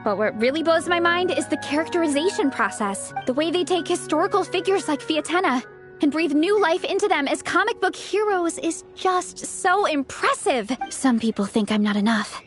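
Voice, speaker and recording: High, cheerful young game heroine. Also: female voice, animated, moderate pace, faint music behind. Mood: enthusiastic. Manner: enthusiastic, earnest. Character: cheerful young woman, hungry fighter and devoted comic fan. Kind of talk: video game voice acting.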